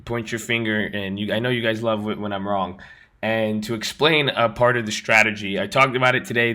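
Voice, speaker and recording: A man with a raspy voice, just over an illness, talking casually as host of a trading channel. He rambles and repeats himself as he walks through his screen. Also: medium pitch, sharp tone. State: recovering from illness. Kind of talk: casual screen-share explanation.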